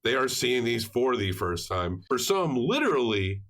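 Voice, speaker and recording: A man's voice: medium pitch, very animated, moderate pace, fairly bright tone. A man co-hosting a podcast, talking through a film in a casual, explanatory, conversational way. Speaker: podcast co-host.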